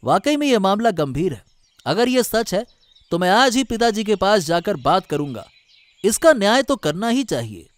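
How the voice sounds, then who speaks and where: A man voices an earnest young villager in a Hindi cartoon. His pitch is high and moves about a lot, in a close, dry recording with music underneath. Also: big pitch swings, normal speed, dry recording. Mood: grave. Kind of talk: Hindi cartoon story dialogue.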